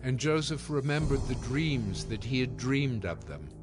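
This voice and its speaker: A man narrating scripture from a script in a measured, solemn manner. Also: mid-range voice, lively intonation. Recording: music behind the voice.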